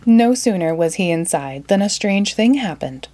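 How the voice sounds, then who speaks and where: A woman storyteller reading a children's storybook aloud in an expressive manner. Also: medium pitch, moderate pace.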